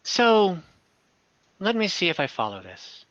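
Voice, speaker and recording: A man voicing a prim, guarded town clerk who questions the players slowly and carefully. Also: sits high, wildly swooping pitch, fairly bright tone, no echo, highs cut off, pitched above the performer's own voice, heavily acted. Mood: puzzled.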